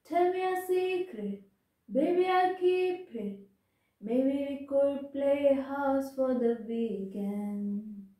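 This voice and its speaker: A young woman softly singing a pop love ballad with no accompaniment, sitting on a bed in a small bedroom with a handheld mic. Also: high-pitched, lively intonation, takes it slowly. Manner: soft, unaccompanied singing. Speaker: singer. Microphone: handheld mic held close. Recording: very echoey room, voice sounding distant.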